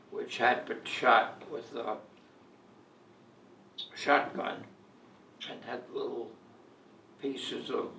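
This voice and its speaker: An elderly man in his eighties or older telling personal stories in a halting, deliberate way, as in an interview. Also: mid-range voice, gravelly, bright, forceful tone. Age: 80s or older. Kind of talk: reminiscing, personal storytelling. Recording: echoey room, distant mic, faint noise.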